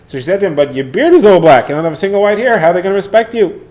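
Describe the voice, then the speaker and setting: A man's voice: high-pitched, lively intonation, rapid-fire, darkish tone, lo-fi sound. A man explaining a daily Talmud page, retelling the story plainly and mixing in Hebrew and Aramaic terms. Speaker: Talmud teacher. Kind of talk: daily Talmud lesson for listeners.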